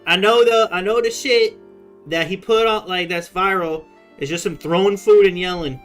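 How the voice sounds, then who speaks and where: A man's voice: high-pitched, normal speed, fairly bright tone, faint music behind. A man with an American accent giving casual, slangy, profane live reaction commentary on a game. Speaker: gaming streamer.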